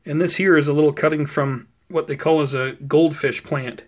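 A man giving a casual, unscripted plant update in an unhurried, explanatory, conversational way, recorded indoors on a handheld camera. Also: on the high side, steady tone. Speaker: host of a plant-growing video channel. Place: indoors at home beside potted seedlings.

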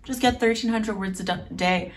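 Young female vlogger, informal and self-deprecating, mid-pitched, speaking to camera from a little distance in a home room. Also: moderate pace. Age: young adult. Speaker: novelist vlogger. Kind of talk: casual vlog chat to camera. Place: small home room with desk.

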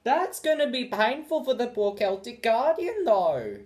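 A man's voice: very high-pitched, big pitch swings, measured pace, a little mellow. A man in his twenties giving casual, sarcastic and campy reaction commentary, recorded indoors a short way from the mic. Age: 20s. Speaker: reaction video host. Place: small bright room indoors.

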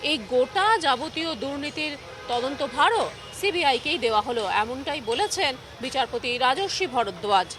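A woman's voice: sits high, animated, normal speed, noisy background. A woman news reporter delivering an explanatory report.

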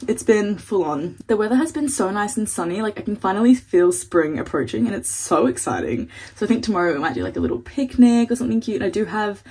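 A woman's voice: medium pitch, animated, fast, hardly any pauses, a little mellow, breathy edge. A young woman, chatting casually to her phone camera in a vlog and saying "like" a lot. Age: young adult. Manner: chatty, lots of "like", bubbly. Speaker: vlogger. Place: bedroom at night, then outdoors in a city. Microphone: phone held at arm's length.

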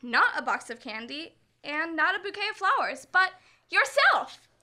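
A woman's voice: extremely high pitch, sing-song, moderate pace, pushed and bright, heavily acted. A young woman playing a dreamy, gushing, romantic girl in an old-time radio comedy. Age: teens to young adult. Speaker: student actor. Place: school library room, staged performance. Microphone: stand microphone on stage.

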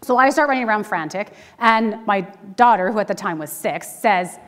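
A woman's voice: mid-range voice, moderate pace. Canadian woman preaching in a reverberant hall. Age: middle-aged. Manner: informal, candid, animated delivery. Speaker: pastor. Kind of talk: conversational sermon with personal storytelling. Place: church sanctuary, speaking to a congregation.

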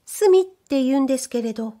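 A shy young maidservant, whispering and bashful, her voice lifted high and light, as a woman narrator puts her on. She speaks softly and hesitantly, in a gentle storybook reading. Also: animated, a little fast. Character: shy young maidservant girl, soft-spoken.

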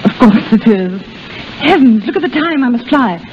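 A woman's voice: fairly high pitch, animated, normal speed, lightly acted. A woman playing an eager, earnest, lovestruck young English girl in a 1930s radio drama. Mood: earnest, unsure. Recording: dull, noisy 1930s radio recording.

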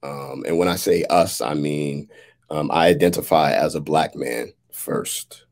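Playwright, male, thirties, American. Low voice with a creaky edge, halting and reflective in conversation. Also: a little mellow. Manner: halting, thoughtful, often checks in with "right?". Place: indoors, on a video call. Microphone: video call.

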